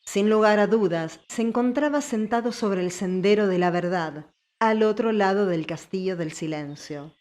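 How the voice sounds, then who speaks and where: A woman's voice: mid-range voice, moderate pace, darkish tone. A woman reading scripted story narration as an audiobook narrator.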